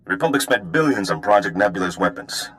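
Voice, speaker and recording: An actor playing a sly, easygoing underworld operative giving briefings in a video game. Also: male voice, low-pitched, expressive, fast talker, fairly bright tone. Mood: briefing, wry.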